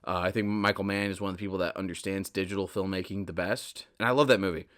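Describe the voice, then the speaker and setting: A man's voice: deep voice, steady tone, fast, a bit bright. Casual, rambling young man, slightly stuffy nose. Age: 20s. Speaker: podcast host. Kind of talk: casual rambling film talk, solo monologue.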